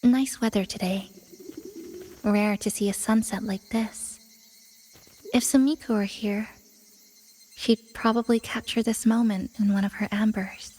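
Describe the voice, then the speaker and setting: An actress playing a composed young woman in a video game, speaking in a measured, reflective way. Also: mid-range voice, steady tone, a little mellow, natural voice. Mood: wistful, musing. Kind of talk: video game cutscene voice acting.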